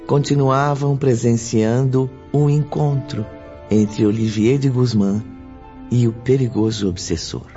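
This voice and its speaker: A Brazilian man narrating a radio drama in a solemn, deliberate storytelling manner. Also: medium pitch, steady tone, dark tone. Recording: dull lo-fi sound under prominent music.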